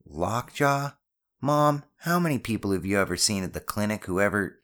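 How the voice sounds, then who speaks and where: An awkward schoolboy acted by a male audiobook narrator, raised well above the reader's usual pitch. He sounds casual and skeptical, a son humoring his mother with mild exasperation. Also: big pitch swings, normal speed. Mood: exasperated. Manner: casual, hesitant, mildly exasperated. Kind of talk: audiobook character dialogue.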